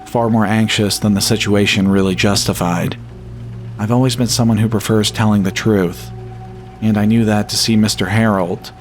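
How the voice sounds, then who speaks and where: A man narrating a scripted first-person horror story in a measured, solemn storytelling manner. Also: lowish voice. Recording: music bed behind the voice. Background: atmospheric music.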